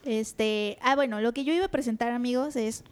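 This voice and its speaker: A woman, co-hosting a podcast in casual chat. She laughs often and fills her talk with little pauses. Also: fairly high pitch, smooth. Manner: giggly, chatty, filler-heavy. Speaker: podcast co-host.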